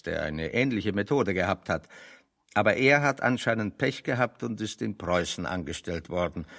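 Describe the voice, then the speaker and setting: Creaky-voiced, wry intellectual refugee. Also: male voice, on the low side, a bit bright. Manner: pedantic, dryly ironic, expounding. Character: wry, bookish intellectual refugee, man, holding forth on philosophy. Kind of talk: radio play dialogue, discursive monologue.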